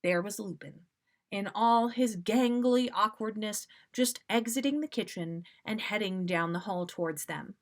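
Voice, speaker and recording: A woman reads aloud as a podcast host, giving the story an animated delivery. She leans on dramatic pauses and keeps a casual, conversational touch, with her voice at a middling pitch. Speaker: podcast host reading listener stories. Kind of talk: expressive fan fiction read-aloud with casual commentary.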